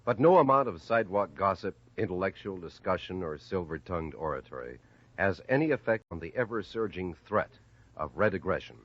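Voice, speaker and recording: A man's voice: low voice, expressive, brisk, highs cut off. An American man reading a scripted 1950s radio announcement in a declamatory, emphatic way.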